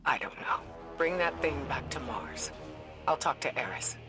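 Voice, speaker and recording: A composed mystic mentor. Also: female voice, rumbling low, expressive, over music. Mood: troubled. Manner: measured, composed, self-searching. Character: proud, thoughtful female mystic mentor. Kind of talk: video game cutscene voice acting. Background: orchestral game score.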